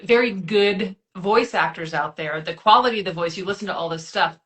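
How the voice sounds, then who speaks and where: A woman's voice: medium pitch, brisk, fairly bright tone. A woman author as a guest in an interview, gushing and affectionate, laughing easily. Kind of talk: casual interview chat.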